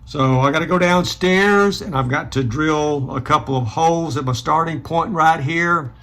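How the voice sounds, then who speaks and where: A man hosting a casual hands-on DIY tutorial, explaining step by step in an unhurried way. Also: fairly high pitch, a bit bright. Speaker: DIY hobbyist host.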